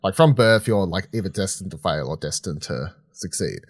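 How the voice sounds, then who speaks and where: Man, fairly deep voice, podcast co-host, rambling informal review talk, sweary, dry sound. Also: animated, normal speed, no room sound. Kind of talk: casual film review chat.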